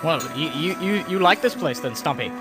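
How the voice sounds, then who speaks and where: Man as a brisk, bold young adventurer. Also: a little high, animated, music in the background, close to an everyday voice. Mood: casual, impatient. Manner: brisk, self-important. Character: bold, self-assured young American adventurer, brusque. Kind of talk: amateur audio drama acting.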